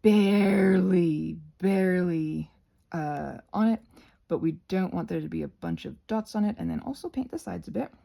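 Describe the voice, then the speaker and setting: A woman's voice: lowish voice, lively intonation, moderate pace, vocal fry, warm tone. A woman giving a casual, rambling craft tutorial, often interrupting herself with playful asides.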